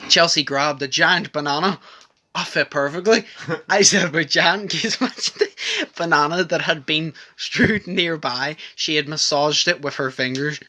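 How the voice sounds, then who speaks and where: A man's voice: fairly high pitch, normal speed, sharp tone. A man chatting casually and reading a comic story aloud as a podcast host, prone to giggling. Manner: breaks into giggles while reading.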